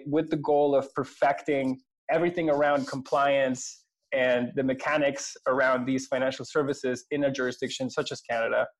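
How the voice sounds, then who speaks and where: A man from Venezuela, a company president answering interview questions earnestly, with frequent pauses and restarts. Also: medium pitch, low-quality recording.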